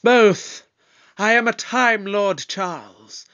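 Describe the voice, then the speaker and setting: A man's voice: high voice, slow pace, bright, forceful tone, smooth, exaggerated voice. A man playing a bold, moralizing alien time-traveler in an audio drama, in a declamatory, pompous manner. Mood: defiant, stern.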